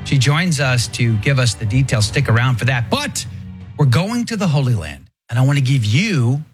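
A man hosting a radio talk show, asking quick questions and often repeating words as he talks. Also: mid-range voice, very animated, soft background music.

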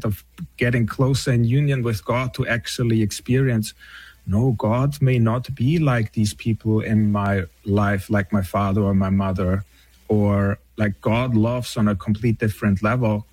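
A man's voice: mid-range voice, no echo. A man, a counselor speaking as a guest in a conversational interview, reflective, often ending thoughts with "right?".

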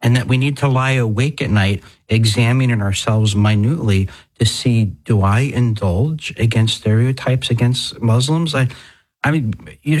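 A man's voice: mid-range voice, low-quality recording. Wry middle-aged man hosting talk radio. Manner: wry, sardonic storytelling. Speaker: radio show host.

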